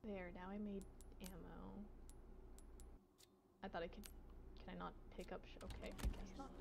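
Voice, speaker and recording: A woman's voice: medium pitch, little pitch movement, moderate pace, gravelly. A woman streaming a horror game, swearing and muttering with panicky outbursts. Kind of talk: casual gameplay chat. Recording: loud background noise.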